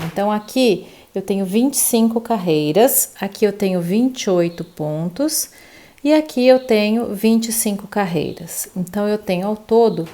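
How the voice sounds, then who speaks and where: A woman guides a crochet tutorial in a warm, mellow voice. She counts stitches aloud, one row at a time. Her pitch sits in a middle range and she keeps a patient teaching tone. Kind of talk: step-by-step craft tutorial.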